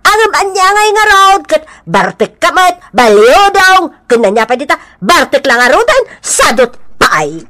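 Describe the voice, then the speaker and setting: A nagging older village auntie in a radio comedy drama. She scolds and presses her questions insistently in a high, forceful voice with lively swings. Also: measured pace, few pauses, exaggerated voice. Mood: insistent, exasperated.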